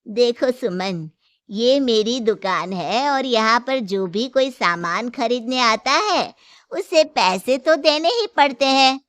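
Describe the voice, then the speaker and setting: A woman playing a gentle, patient elderly mother-in-law who keeps a small shop in a Hindi moral story. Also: fairly high pitch, animated, slow, no room sound. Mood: mildly firm.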